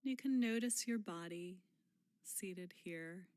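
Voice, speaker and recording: A woman leading a guided mindfulness meditation in a gentle, unhurried manner, pausing often and letting phrase endings fall soft and breathy. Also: fairly low pitch, no echo, clean studio sound.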